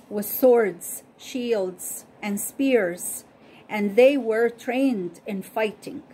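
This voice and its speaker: A woman whose first language is Arabic, slowly and carefully reading a Bible story aloud and repeating study questions as host. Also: on the high side, warm tone, slight background noise, low-quality recording.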